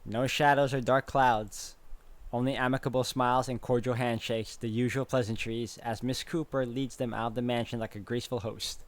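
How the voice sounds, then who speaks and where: A man's voice: mid-range voice, fairly even intonation, moderate pace, close to an everyday voice. A man playing a brooding, uneasy protagonist, narrating a visual novel in a dramatic, introspective first-person style.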